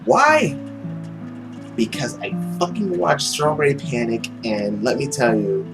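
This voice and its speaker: A man's voice: mid-range voice, measured pace. A man hosting a let's play of a visual novel, narrating and reacting expressively. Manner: expressive, swearing freely. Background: music with plucked strings.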